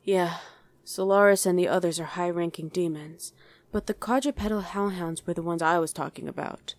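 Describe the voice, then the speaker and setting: A woman voicing a guarded demon who was once a human mother, in an intimate ASMR roleplay with halting, emotional delivery. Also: on the low side, dry recording, close to an everyday voice. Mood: matter-of-fact. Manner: halting, emotional, speaks directly to one listener.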